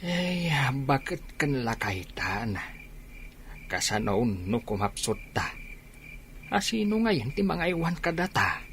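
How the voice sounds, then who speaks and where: A man's voice: medium pitch, very animated, slow, a bit bright, slight background noise, heavily acted. A man acting an easygoing old village husband and farmer in a radio drama. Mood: grumbling.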